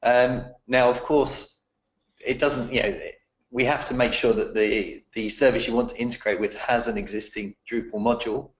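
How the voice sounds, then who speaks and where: A man with a croaky voice answering technical questions in a webinar, explaining in a steady way. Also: medium pitch, moderate pace, roomy sound, rough recording.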